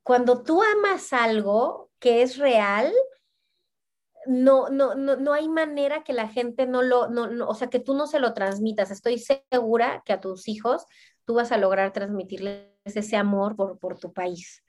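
A woman's voice: fairly high pitch, a little slow, a little mellow. A woman, talking animatedly as a guest and often repeating words as she searches for them. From Mexico. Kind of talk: casual conversation.

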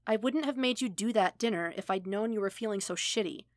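A woman's voice: medium pitch, brisk, no echo, lightly acted. Male mentor, acted by a woman narrator: blunt, reassuring, casual phrasing, dry storybook sound. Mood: earnest, protective. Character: gruff but caring male mentor, casual, reassuring billionaire.

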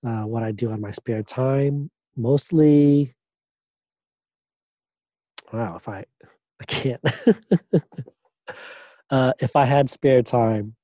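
A man, a doctoral student, answering interview questions in a relaxed way, pausing often with fillers and restarts. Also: medium pitch, dry recording. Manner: hesitant, many fillers and restarts.

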